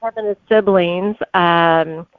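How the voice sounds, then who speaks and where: A woman's voice: fairly low pitch, steady tone, slow pace, dry recording, phone-quality sound. A woman answering conversationally as a guest author in an interview.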